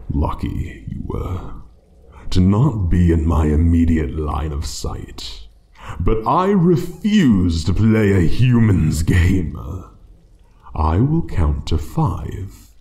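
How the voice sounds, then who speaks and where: An ancient dragon guarding its hoard, played by a man, speaks in a low, dark, mellow voice. It is haughty and menacing, toying with its prey in a fantasy audio roleplay, with a melody that rises and falls widely. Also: exaggerated voice. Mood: cold threat. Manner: haughty, taunting, slow deliberate menace. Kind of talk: fantasy ASMR roleplay monologue.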